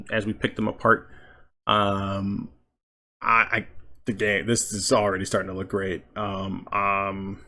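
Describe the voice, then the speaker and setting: Creaky-voiced male gaming commentator. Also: on the low side, fairly bright tone. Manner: halting, thinking aloud. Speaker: gaming channel host. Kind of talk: casual video game commentary.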